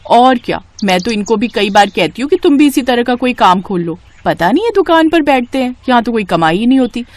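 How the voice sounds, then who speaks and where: Woman as a complaining wife, lively high voice. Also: a little fast, natural voice. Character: nagging, dissatisfied wife of a shopkeeper.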